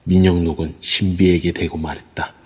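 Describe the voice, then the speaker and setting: A man's voice: deep voice, level tone, moderate pace, darkish tone, husky. A man reading scripted narration for an audio drama. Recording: long reverb throughout.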